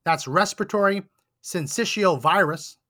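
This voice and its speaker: Male podcast host, hoarse and fading voice, high-pitched, emphatic indignant monologue. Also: moderate pace, bright, forceful tone. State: hoarse, losing his voice. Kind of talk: political commentary monologue. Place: Texas.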